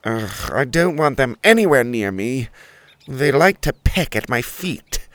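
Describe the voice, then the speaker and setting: A stuck-up male turkey with a lively, swooping voice, sniffing at everything around him in a slow, haughty drawl, as a man puts him on. The sound is dry and close. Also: medium pitch, dry recording. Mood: scornful. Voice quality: haughty, sneering, drawn-out delivery. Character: snooty grumpy male turkey, complaining, pompous.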